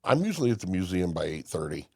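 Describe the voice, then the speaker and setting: A man's voice: sits low, sing-song, fast, creaky, husky, dry recording, studio quality. An older man from Indiana, a podcast guest telling folksy stories with emphasis and frequent restarts. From Indiana, USA. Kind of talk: casual conversation.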